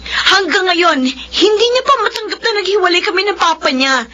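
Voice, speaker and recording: A woman's voice: very high-pitched, lively intonation, moderate pace, sharp tone, muffled recording, exaggerated voice. A woman playing an emotional, protective Filipino mother in a radio drama, pleading and scolding, often on the verge of tears. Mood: tearful pleading. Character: distressed, scolding, protective mother of a rebellious teenage daughter.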